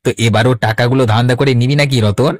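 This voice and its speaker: A man's voice: medium pitch, fairly even intonation, fast talker, no echo, clean studio sound, natural voice. A man voicing a boastful, wily village swindler with a cocky, coaxing manner in a cartoon. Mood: boastful scheming. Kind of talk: Bengali cartoon voice acting.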